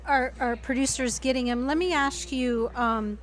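Higher-pitched woman hosting a casual interview. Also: fairly even intonation, normal speed. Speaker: interview host.

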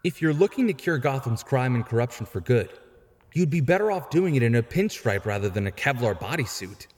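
An actor playing a frank, principled younger vigilante in an audio drama, earnest and articulate. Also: male voice, medium pitch, very animated, a little fast, darkish tone, close to an everyday voice. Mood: earnest argument. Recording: long hall reverb.

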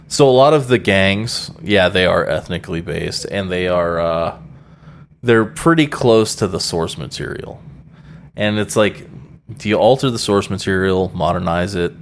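A man's voice: sits low, animated, a little slow, slight background noise. A man co-hosting a podcast in casual, rambling chat, full of fillers and casual swearing. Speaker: podcast co-host.

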